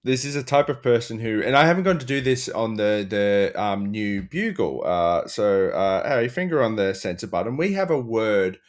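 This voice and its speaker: An Australian man speaks in a fairly low voice as a panellist on a comedy show. He riffs in long run-on sentences, exasperated and happy to swear. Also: expressive, moderate pace, few pauses.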